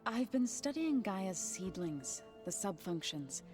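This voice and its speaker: An actress playing a gentle, curious and considerate woman companion in a video game, with a thoughtful way of talking. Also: mid-range voice, very animated, takes it slowly, music in the background. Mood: caring, curious. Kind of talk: video game character dialogue.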